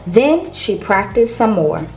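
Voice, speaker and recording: A woman's voice: mid-range voice, very animated, takes it slowly, over music. A woman reading a children's picture book aloud as a storyteller.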